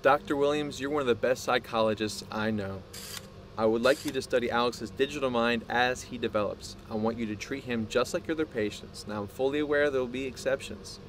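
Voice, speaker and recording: An actor playing a proud, obsessive male scientist in an audio drama, speaking in a deliberate, grandiose way. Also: medium pitch, fairly bright tone, slight background noise, natural voice. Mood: measured, earnest. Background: music.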